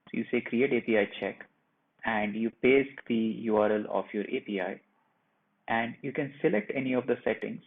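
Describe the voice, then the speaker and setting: A man presenting a software tutorial, narrating each step of a screen share in a plain, instructional way. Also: mid-range voice, normal speed. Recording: muffled phone-like narrow band.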